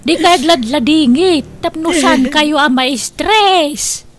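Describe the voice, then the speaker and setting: A woman's voice: high voice, slow. A woman playing a caring neighbour who consoles others in an Ilocano audio drama. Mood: consoling, earnest.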